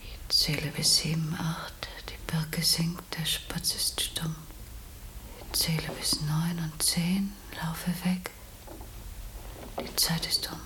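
An actress in a German science-fiction radio play, playing a dazed, withdrawn patient in a whispery, detached way. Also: female voice, sits low, flat delivery, takes it slowly, pauses often, bright, forceful tone, exaggerated voice, low-quality recording.

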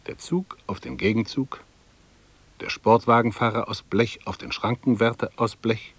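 A man's voice: on the low side, breathy edge, no room sound, exaggerated voice. An actor playing a fussy, fretful middle-aged male bank clerk thinking aloud in a radio drama, precise and ruminative. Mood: musing, pleased. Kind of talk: 1960s German radio drama monologue.

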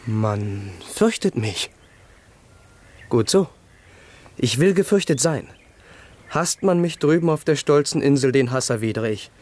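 An actor playing a proud, defiant young Prussian crown prince in a radio play, speaking formally and with haughty emphasis. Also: male voice, medium pitch, animated, unhurried, pauses often, darkish tone. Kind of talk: German radio play acting.

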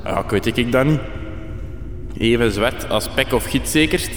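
A man's voice: mid-range voice, unhurried, fairly bright tone, close to an everyday voice. Echoey, folksy Flemish sidekick played by a man. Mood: folksy, easygoing. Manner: colloquial dialect, unbothered. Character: laid-back male sidekick, folksy, would-be musician. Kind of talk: radio drama acting. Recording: cavernous echo, faint ambient noise.

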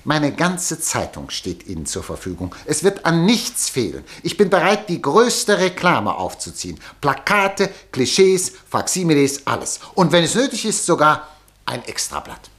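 Male newspaper director, radio play, bombastic, domineering, insistent, very animated pitch. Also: moderate pace. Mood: grandly persuasive. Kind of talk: German radio drama acting.

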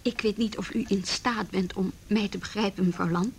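A woman's voice: medium pitch, steady tone, normal speed, a little hiss or hum, lightly acted. An actress playing a proud, defiant young policewoman in a Dutch radio play, indignant and pointed yet formally polite.

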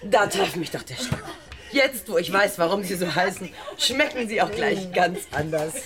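An actress playing a teasing, practical village woman with a lively, mocking manner in a radio drama. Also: on the low side, normal speed, lightly acted. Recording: clear crowd chatter behind.

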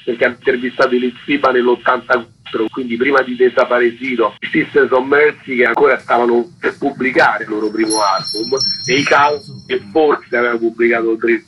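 A man's voice: mid-range voice, steady tone, fairly quick, muffled recording, slight background noise. A man explaining things colloquially in an informal radio interview as a fanzine co-editor.

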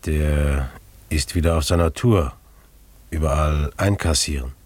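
An actor playing a scheming, secretive village innkeeper in debt, in a German radio drama, with an insistent, calculating manner. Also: male voice, rumbling low, a little slow, a little mellow, dry recording. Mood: coldly insistent. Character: scheming, debt-ridden village innkeeper coldly plotting a crime.

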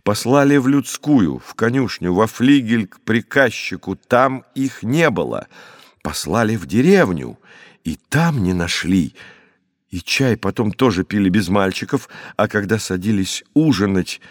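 A man reads a story as an audiobook narrator. His voice sits fairly low, and his phrasing is expressive and dramatic. The recording is very dry. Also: unhurried, no echo.

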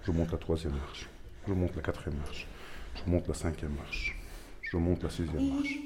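A man's voice: low-pitched, huge pitch swings, normal speed, noisy background. A man doing a spoken-word performance, narrating his steps in a repetitive, incantatory way. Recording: echoey stone stairwell, music behind.

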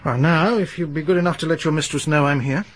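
A courteous, methodical detective, played by a man in a radio play, asking careful questions. His voice is mid-pitched and even, with a faint hiss in the background. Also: natural voice. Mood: polite. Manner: polite, measured, probing. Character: polite, probing male police detective. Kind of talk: 1980s BBC radio drama acting.

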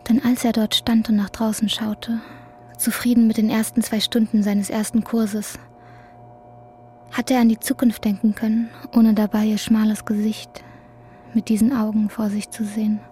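Mellow, even-toned woman narrator. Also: a little high, slight background noise. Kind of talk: scripted literary narration in a radio drama.

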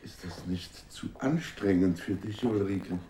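An actor playing a controlling, protective middle-aged husband in a German radio drama, firm, formal and soothingly patronising. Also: male voice, sits low, a little slow, mellow, slight background noise.

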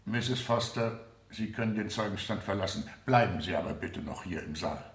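A man's voice: fairly low pitch, normal speed, gravelly, slight background noise. An actor playing a stern, authoritative judge in a radio play, formal and commanding as he presides over a trial. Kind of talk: 1970 German radio play acting. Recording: roomy, echoing studio courtroom.

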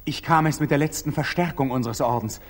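An actor playing a proud, impetuous young knight with a husky voice, intense and abrupt, in a 1940s German radio play. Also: male voice, a little high, fairly quick. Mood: impatient. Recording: old 1940s radio drama recording.

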